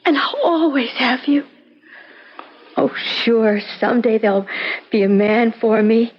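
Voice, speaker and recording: An earnest young granddaughter in a radio play, tender and resolute. Her voice is high and she speaks slowly. The old recording is muffled. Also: expressive. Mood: tender, determined. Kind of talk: old-time radio drama acting.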